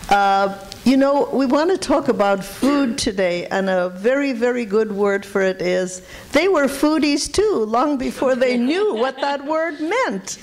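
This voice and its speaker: Older lady from shanghai, voice a bit high, telling old stories to an audience, stresses words by repeating them. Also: lively intonation, a little slow, few pauses. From Shanghai, China. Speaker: guest speaker at a public food history talk. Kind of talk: informal spoken reminiscence to an audience.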